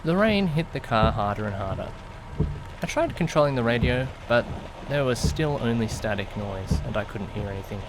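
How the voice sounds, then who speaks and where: A man acts out an awkward young driver telling his own story in an audio drama. His delivery is conversational and musing, easily flustered, with pitch that moves around a lot. Rain and vehicle noise run clearly behind him. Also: lively intonation, moderate pace, close to an everyday voice. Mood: musing, uneasy. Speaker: narrator and lead character. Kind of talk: first-person audio drama narration with acted dialogue. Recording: noisy, rain and car rumble behind. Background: rain and vehicle sounds.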